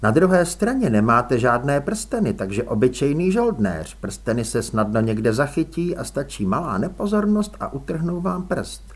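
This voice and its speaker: A man voicing a sharp-eyed, self-assured young woman in a storybook, reasoning briskly in a raised voice. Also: mid-range voice, sing-song. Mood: shrewd.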